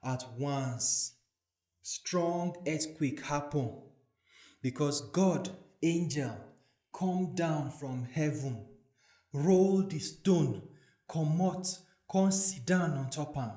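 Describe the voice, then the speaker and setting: Slow-paced Nigerian man narrating, echoey. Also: a little high, mellow. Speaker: scripture narrator. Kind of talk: dramatic scripted narration of scripture in Nigerian Pidgin. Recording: echoey voice recording with music. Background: soothing background music.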